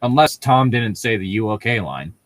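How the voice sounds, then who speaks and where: A man's voice: lowish voice, expressive, normal speed, a bit bright, no room sound. A man casually reading fan fiction aloud with friends, cutting in with sweary, mocking asides. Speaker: fan fiction podcast reader. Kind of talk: casual comic read-aloud and banter.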